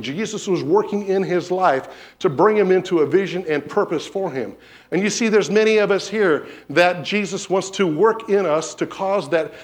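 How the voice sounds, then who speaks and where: A man preaching a sermon in a church, telling stories and acting out what people said. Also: high-pitched. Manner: animated storyteller, acts out quotes. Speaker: pastor. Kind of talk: sermon with storytelling. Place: church sanctuary.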